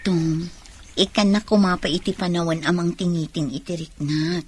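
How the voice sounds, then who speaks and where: A woman's voice: lowish voice, fairly even intonation, moderate pace, husky. A woman playing a hesitant young woman being courted in an Ilocano radio drama.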